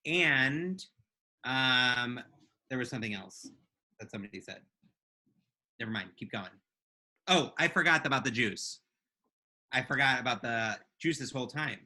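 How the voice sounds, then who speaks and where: A man's voice: mid-range voice, expressive, unhurried, pauses often, bright, forceful tone. A man chatting casually, chiming in with offhand asides and clarifications. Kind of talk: casual chat.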